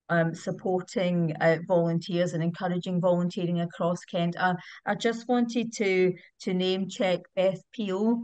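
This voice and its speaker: A woman's voice: on the low side, smooth, no room sound. A woman with a British accent hosting a webinar over a video call, fluent but with frequent ums. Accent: British English. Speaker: webinar host.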